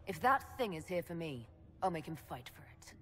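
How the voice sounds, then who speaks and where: An actress playing a determined, defiant elven hero in a video game, with a pointed, resolute delivery. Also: female voice, low voice, sing-song, normal speed. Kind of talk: dramatic video game acting.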